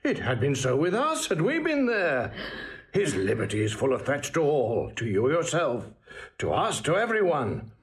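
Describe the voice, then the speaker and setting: A man's voice: a little high, wildly swooping pitch, normal speed. An actor playing an anxious, calculating king in a classical audio drama, grave and declamatory. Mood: troubled, grave. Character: anxious, calculating king, a man of stately authority. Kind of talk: classical Shakespearean radio acting.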